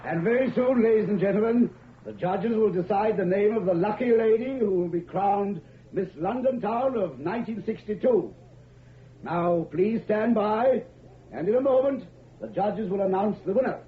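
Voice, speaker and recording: High-pitched pageant master of ceremonies played by a man. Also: moderate pace, slight background noise, lightly acted. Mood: showy. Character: showy, confident male beauty pageant master of ceremonies. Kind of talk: radio drama stage announcing. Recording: thin phone-like old radio recording.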